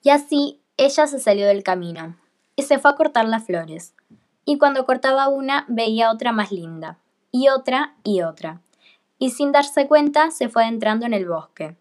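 Higher-voiced woman narrating a fairy tale. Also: animated, moderate pace, darkish tone. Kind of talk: scripted storybook narration for radio play.

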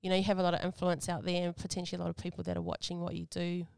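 NZ woman host, low gravelly voice, dry close sound, easygoing interviewer. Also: very fast, dry recording. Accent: New Zealand. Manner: relaxed, friendly, lots of fillers and te reo Māori greetings. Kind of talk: casual interview chat.

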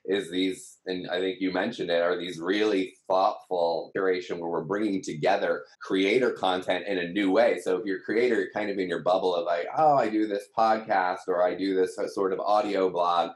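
A man's voice: low voice, steady tone, moderate pace, hardly any pauses. A man explaining things conversationally as an interview guest. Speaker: company team member being interviewed. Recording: roomy, echoing sound.

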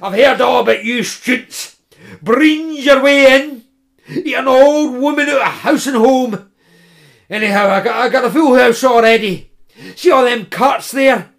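A man's voice: very high voice, measured pace, vocal fry, fairly bright tone, pitched above the performer's own voice, exaggerated voice. A man voicing a suspicious, grumbling old woman who coughs.

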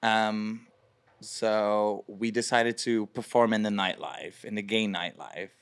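A young man from Belgium, a drag performer, chatting in an interview with many fillers and lively quoted asides. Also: on the low side, takes it slowly, smooth. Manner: chatty, lots of fillers, animated quoting. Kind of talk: casual interview.

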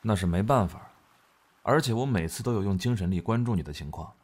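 A man's voice: fairly low pitch, lively intonation, fairly quick. A man playing a stern, reserved young Alpha general in a modern audio drama. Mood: stern, controlled.

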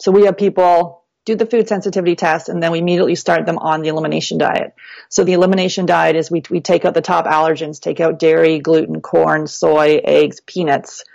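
Low, chatty woman guest, explains step by step, close dry mic. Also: level tone, brisk, vocal fry, darkish tone, dry recording, dull audio. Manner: chatty, explains step by step with many "you know" and "like" fillers. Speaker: fertility coach and guest. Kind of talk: casual explanatory interview talk.

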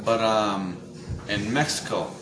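A man's voice: lowish voice, slow pace. A young man hosting a podcast, interviewing his grandfather with hesitant, filler-heavy questions. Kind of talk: casual family interview. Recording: echoey room, faint noise.

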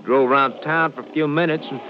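An actor with an American accent playing a polite, defensive Texas ranch hand with a hesitant, rustic way of talking in a 1950s radio drama. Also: male voice, fairly high pitch, steady tone, brisk, close to an everyday voice. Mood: uneasy. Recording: muffled, noisy old radio recording.

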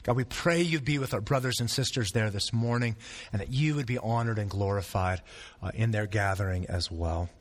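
A man's voice: fairly low pitch, a little fast. A man preaching a sermon as pastor, earnest and repeating phrases for emphasis, with a sore throat and a fading voice.

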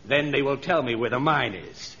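Pompous, creaky-voiced scheming villain. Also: male voice, medium pitch, lively intonation, moderate pace, bright, forceful tone. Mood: boastful. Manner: pompous, theatrical, menacing. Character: boastful, scheming villain posing as all-powerful magician. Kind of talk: 1930s radio acting. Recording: muffled, noisy 1930s radio recording.

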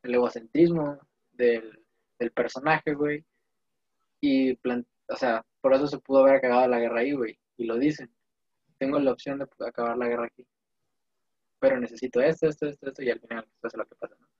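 A man's voice: mid-range voice, a little fast, no room sound. Young Mexican man, casual slangy talker, rambling storyteller; dry, slightly dull lo-fi audio. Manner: slangy, digressive, animated storytelling. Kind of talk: casual chat.

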